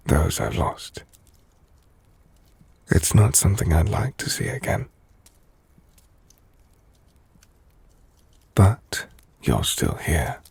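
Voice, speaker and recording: Gentle, brooding male vampire noble, acted by a man, ASMR roleplay. Breathy, husky, unhurried and measured, close and intimate. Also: rumbling low, no room sound, full character voice. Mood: tender, concerned. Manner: slow, hushed, measured, formal. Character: brooding, gentle, melancholy male vampire-blooded castle lord. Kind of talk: ASMR audio roleplay, intimate scripted monologue.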